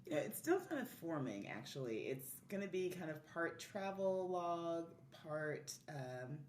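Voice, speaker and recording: A woman's voice: fairly low pitch, sing-song, unhurried, a little mellow, recorded from a distance. A woman chatting casually and effusively as a podcast guest, telling stories in an easy conversational way. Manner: effusive, laughs easily. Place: live in-person podcast recording in a room. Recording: roomy echo, off-mic, faint noise.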